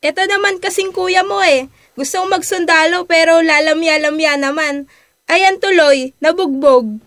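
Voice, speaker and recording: A woman's voice: extremely high pitch, moderate pace, a bit bright, no room sound. A woman acting a nagging, scolding mother in an amateur radio drama, with a Filipino accent.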